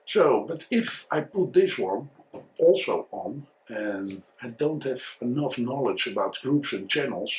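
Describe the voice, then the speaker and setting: A man with a Dutch accent and a mid-range voice talks as an instructor in an informal review. As a native Dutch speaker, he explains things didactically. His delivery is halting, with words often repeated as he goes. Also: mid-range voice, animated, poor audio. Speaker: photography instructor and video reviewer. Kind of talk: informal product review and tutorial.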